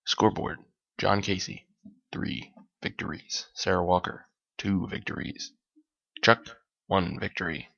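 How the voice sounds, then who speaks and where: A very low, gravelly male voice, hoarse and a bit worn, talking loosely through a solo podcast. He rambles, stops to fix his own words and drops small jokes. The sound is dull and lo-fi, like a simple home setup. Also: unhurried, pauses often, pushed and bright. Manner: rambling and self-correcting, with light jokes. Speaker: podcast host. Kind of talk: casual solo podcast talk.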